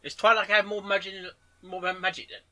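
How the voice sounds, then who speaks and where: A man's voice: high-pitched, level tone, a little fast, bright, forceful tone. A man giving off-the-cuff reaction commentary in short interjections, often stumbling and repeating words. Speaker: reaction host.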